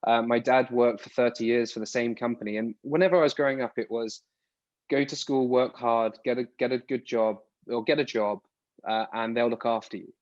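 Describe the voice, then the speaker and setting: A man's voice: mid-range voice, steady tone, brisk, no room sound. British man, rambling guest answers. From United Kingdom. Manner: rambling, restarts words often. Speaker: podcast guest. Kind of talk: casual interview answers. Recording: dull lo-fi dry sound.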